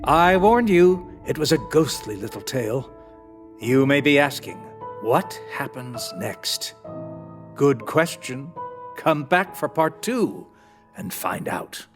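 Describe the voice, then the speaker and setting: A man's voice: medium pitch, big pitch swings, slow. A man hosting and narrating a radio play, theatrical and playfully speaking straight to the listener. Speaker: host and narrator. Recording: music behind the voice.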